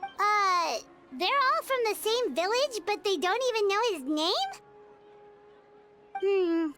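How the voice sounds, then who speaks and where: A woman's voice: extremely high pitch, sing-song, takes it slowly, pushed and bright, heavily acted. A woman voicing a tiny, chatty and curious female fairy companion who talks about herself in the third person. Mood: eager. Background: game music.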